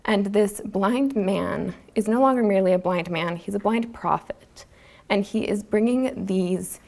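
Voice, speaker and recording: A woman's voice: mid-range voice, vocal fry, darkish tone. A woman in her twenties giving a prepared academic talk as a student presenter, earnest and articulate, at a podium microphone in an auditorium. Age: 20s. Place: lecture auditorium stage. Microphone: gooseneck podium microphone.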